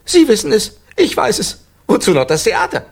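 A man's voice: high voice, moderate pace, husky, exaggerated voice. An actor playing an agitated, probing amnesiac man with a head wound in a German radio crime drama. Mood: excited, triumphant. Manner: probing, insistent, stammering when excited.

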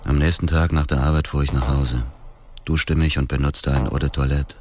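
A man's voice: extremely low pitch, little pitch movement, moderate pace, over music. An actor playing a bitter, vengeful grieving man, terse and guarded, in a radio drama. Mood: cold bitterness. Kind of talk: radio drama, dialogue and first-person narration.

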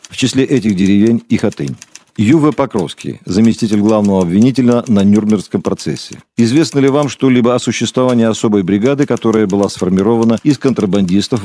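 Low, mellow male documentary narrator. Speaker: documentary narrator of a radio play.

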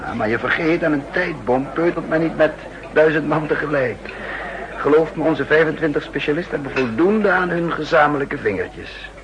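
An actor playing a guarded, authoritative spy chief with a husky voice, clipped and formal, in a 1960s radio play. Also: male voice, on the high side, normal speed, background noise, close to an everyday voice. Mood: dry. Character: authoritative, guarded male spy chief, curt with his servant.

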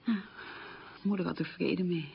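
Woman haunted by wartime memories, really breathy husky voice, creaky, halting radio monologue. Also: lowish voice, dull audio, heavily acted. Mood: reflective. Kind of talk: radio documentary-drama monologue, reminiscing.

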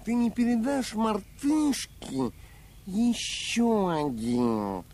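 A childlike baby elephant in a children's radio play. He speaks slowly and haltingly, earnest and polite, with big lilting swings in pitch. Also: big pitch swings, darkish tone. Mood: polite, hesitant. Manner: hesitant, halting, apologetic. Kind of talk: children's audio play acting.

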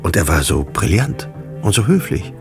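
Low, creaky male literary narrator. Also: very animated, normal speed, music in the background.

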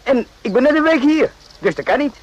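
A man's voice: very high-pitched, animated, brisk, highs cut off. A man acting in a radio drama as an evasive, boastful, naive army private, hesitant and repeating himself. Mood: defensive.

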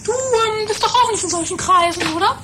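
A woman's voice: very high-pitched, a little fast. A woman playing a domineering, melodramatic mother in an improvised comedy radio play, theatrical and bossy. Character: shrill, domineering, melodramatic mother of a teenage son. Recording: noisy amateur 1980s tape.

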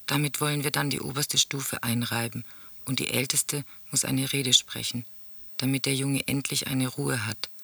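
An actor playing a sombre teller in a radio drama, reciting grim memories and letters in a solemn, measured, husky voice. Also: male voice, mid-range voice, flat delivery, sharp tone, no echo, heavily acted. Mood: guilty pleading.

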